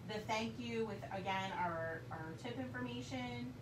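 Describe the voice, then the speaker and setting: A woman in her thirties leading a live painting class, giving upbeat step-by-step directions with little laughs and a slightly hoarse voice. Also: a little high, moderate pace. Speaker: painting instructor. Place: indoor studio. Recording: distant, roomy, noisy room audio.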